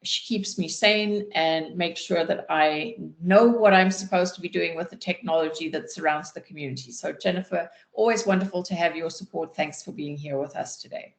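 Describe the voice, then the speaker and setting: Gravelly-voiced woman hosting a video call. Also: fairly low pitch, moderate pace, hardly any pauses, echoey room. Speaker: community host and moderator. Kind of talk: hosting an online panel call.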